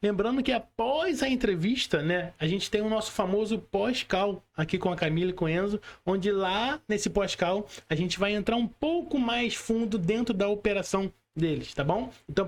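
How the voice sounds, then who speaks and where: High-pitched Brazilian man hosting a podcast. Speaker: podcast host. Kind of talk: casual online interview hosting. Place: remote video call.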